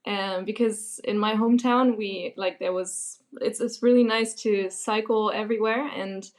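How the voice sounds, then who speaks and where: A young woman from Germany, a podcast guest answering in a relaxed way, laughing easily and using many fillers. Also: mid-range voice, moderate pace. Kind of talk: casual interview answers.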